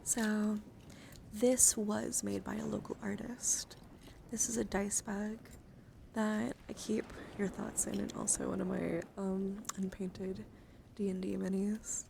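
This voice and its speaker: A woman chatting softly to livestream viewers in ASMR style, breathy and often whispering, with a gentle, soothing, intimate manner close to the mic. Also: medium pitch, flat delivery, slow pace, noisy background. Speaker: live streamer hosting ASMR. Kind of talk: ASMR, casual chat with viewers.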